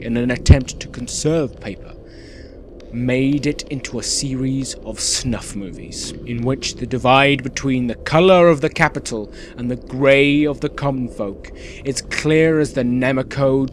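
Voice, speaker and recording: Man acting a slow, eerie radio host. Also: medium pitch, a little hiss or hum, close to an everyday voice. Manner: theatrical, measured delivery with dramatic pauses. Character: cheerfully sinister male radio host of a dystopian town. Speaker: radio presenter. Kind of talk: scripted radio play in the manner of an eerie community radio show.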